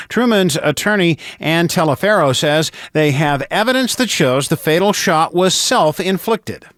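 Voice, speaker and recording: A man's voice: a little high, a bit bright, no room sound, clean studio sound. An American man reading news as a radio anchor in a scripted broadcast style.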